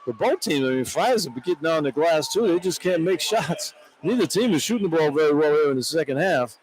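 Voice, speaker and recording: American male color analyst, slightly high voice. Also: animated, brisk, poor audio. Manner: informal, colloquial. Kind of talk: conversational sports commentary. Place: courtside in a large indoor arena. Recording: radio broadcast with clear arena noise. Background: arena noise.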